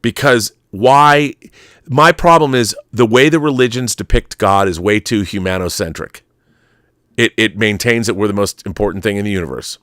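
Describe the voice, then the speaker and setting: A low-pitched American man in his fifties talks casually as a show host, close and dry in sound. He wanders into tangents, speaks with emphasis and opinion, and swears freely. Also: moderate pace, fairly bright tone, no room sound. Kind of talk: casual, rambling opinionated live chat answering viewer messages.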